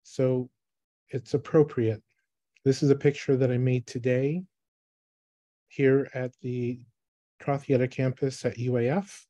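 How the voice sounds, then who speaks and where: A man in his thirties, from the Philippines, giving a thoughtful online talk about his photography on a video call, with pauses and restarts. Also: medium pitch, steady tone, mellow, no room sound, muffled recording. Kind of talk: online presentation. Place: small room, indoors. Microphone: webcam on a video call.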